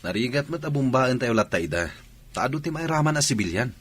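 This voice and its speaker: An actor with a Filipino accent playing a level-headed, brooding soldier who gives advice in a radio drama. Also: male voice, medium pitch, expressive, fairly quick, fairly bright tone, slightly rough. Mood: concerned. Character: level-headed soldier, brooding and quietly advising his comrades. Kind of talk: Ilocano radio drama acting.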